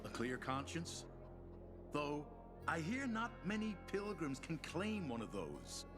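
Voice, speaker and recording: A shifty, flustered merchant who pleads and dodges, his pitch leaping around while he drags out his words. Game music plays clearly behind him. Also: sing-song, takes it slowly. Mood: pleading. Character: nervous shifty male flour merchant. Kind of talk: video game dialogue.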